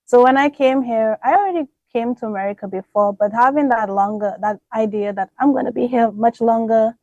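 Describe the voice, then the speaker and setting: A young woman speaks in a fairly high voice, close and dry on the mic. She is a radio interview guest, reflective and quick to laugh as she talks about her roots. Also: dry recording, highs cut off. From Dominica. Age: 20s. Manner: warm, reflective, laughs often.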